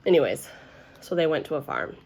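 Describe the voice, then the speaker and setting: A woman's voice: low-pitched, fairly quick, vocal fry, husky. Woman reading aloud to children. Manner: animated, asks listeners questions. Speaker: read-aloud teacher. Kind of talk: expressive children's storybook reading with asides to listeners.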